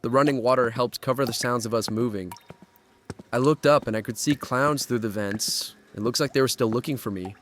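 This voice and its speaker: A man's voice: medium pitch, fairly quick, fairly bright tone, a little hiss or hum, natural voice. An actor playing a frightened, bewildered kid who tells his story in a horror audio drama, earnest and uneasy. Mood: tense narrating. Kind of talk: horror audio drama acting and first-person narration.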